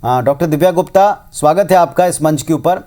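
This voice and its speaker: A man's voice: fairly high pitch, brisk, mellow. A man with an Indian accent presenting a live debate show as news anchor.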